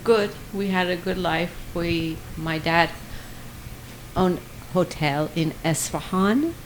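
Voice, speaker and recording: A woman's voice: lowish voice, slow, a little hiss or hum, rough recording. A woman in her fifties from Iran, giving short, plain answers as a guest in a casual podcast chat on a headset mic. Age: 50s. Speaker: guest, host's mother.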